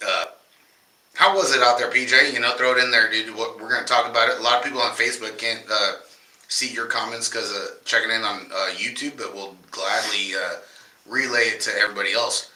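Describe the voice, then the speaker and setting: A man's voice: on the low side, fairly even intonation, fairly quick, pushed and bright, distant mic. A man co-hosting a casual livestream chat, loose and profane, slightly nasal from a cold. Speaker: podcast co-host. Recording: roomy echo, off-mic at times.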